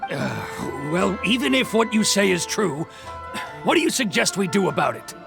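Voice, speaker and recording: A man's voice: high-pitched, big pitch swings, moderate pace, gravelly, over music, heavily acted. An actor voicing a haughty, corrupt male official in a video game, pompous, evasive and full of bluster. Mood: grudging.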